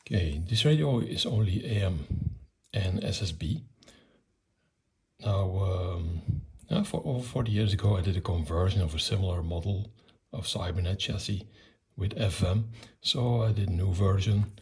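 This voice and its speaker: A middle-aged or older man giving an unscripted technical demonstration in a matter-of-fact, hesitant way, with a husky, gravelly voice. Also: low voice, expressive, moderate pace, darkish tone. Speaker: electronics hobbyist presenter.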